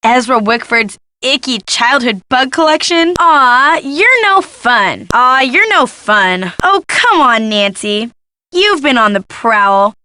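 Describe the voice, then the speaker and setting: A woman's voice: sits high, bright, forceful tone, no room sound, clean studio sound, exaggerated voice. A woman playing a gossipy, snarky young female photojournalist in a video game, with sardonic, dramatic emphasis. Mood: eager, prying. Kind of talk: video game character dialogue.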